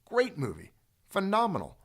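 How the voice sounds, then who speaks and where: A man's voice: high-pitched, wildly swooping pitch, moderate pace, a bit bright, slightly rough. A middle-aged man hosting a casual home chat, rambling and joking. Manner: chatty, rambling, jokey. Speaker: channel host.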